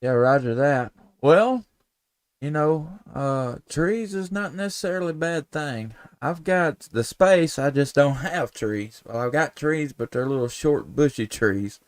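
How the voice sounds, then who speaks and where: An American man chatting casually as an amateur radio host, in a folksy, easygoing way with thinking pauses. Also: fairly high pitch, lively intonation, no echo. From United States. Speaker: amateur radio operator, live stream host. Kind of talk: casual radio contact chat.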